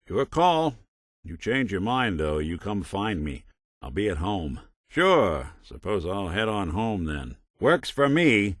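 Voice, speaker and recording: Low, wry American android gumshoe. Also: male voice, fairly bright tone, no room sound, heavily acted. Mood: wry, casual, then dry, easygoing. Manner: wry, dry sarcasm, noir detective drawl. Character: weary synth detective, world-worn male android gumshoe. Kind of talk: video game character dialogue. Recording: very dry close studio recording.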